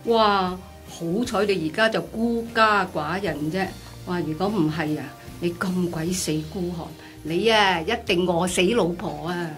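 A woman's voice: fairly low pitch, a little slow, natural voice. A woman with a Hong Kong Cantonese accent playing a chatty, pleading orphanage director in a radio drama. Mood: exasperated. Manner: exclamatory, persistent. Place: small padded radio studio. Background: music.

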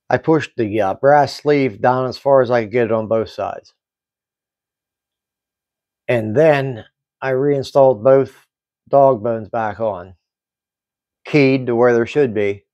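A middle-aged man giving a casual hands-on hobby review in halting, explanatory short phrases. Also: mid-range voice, darkish tone, dry recording, muffled recording. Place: small indoor hobby workbench.

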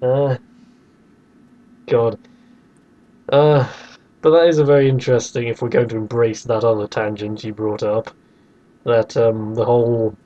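A man with a mellow, relaxed tone argues casually as a podcast host, often slipping into mock quotes. His pitch is average, and the recording is a little dull and lo-fi. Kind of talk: casual debate about video games.

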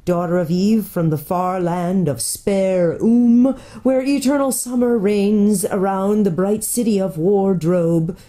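A woman's voice: mid-range voice, takes it slowly, hardly any pauses, warm tone, close to an everyday voice. A woman reading aloud, voicing a shy, fussy little male faun who talks in a flustered, eager and polite way.

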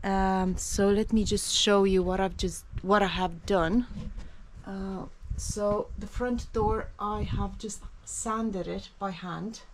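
A woman's voice: medium pitch, steady tone, slow, slight background noise, rough recording. A woman in her forties talks through her renovation work step by step in a casual vlog, filmed outdoors at a cottage on a handheld camera. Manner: chatty, explains step by step with fillers. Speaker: vlogger. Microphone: action camera held close.

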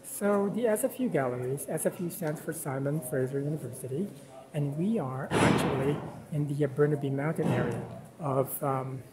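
A man's voice: a little high, heavy background noise. A man from the Philippines whose first language is Tagalog, hosting a casual walking vlog about contemporary art, rambling with many fillers as he tours a campus and its gallery. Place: outdoor campus plaza, then indoor gallery.

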